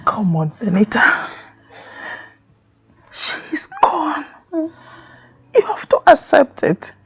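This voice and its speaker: A woman's voice: high-pitched, very animated, very slow, vocal fry, a bit bright, exaggerated voice. An actress playing a middle-aged grieving grandmother in a screen melodrama, stern and reproachful. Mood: tearful, firm.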